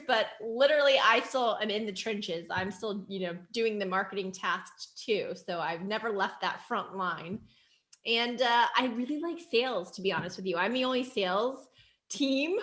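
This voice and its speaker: A woman in her forties with a youthful, girlish-sounding voice, chatting animatedly as a podcast guest. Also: a little high. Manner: chatty and animated, laughs easily. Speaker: agency CEO, guest. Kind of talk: casual interview chat.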